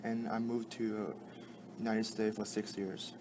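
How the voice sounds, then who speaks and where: A man answers interview questions in a fairly low voice. He speaks haltingly, often pausing to find the words. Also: little pitch movement, noisy background. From Taiwan.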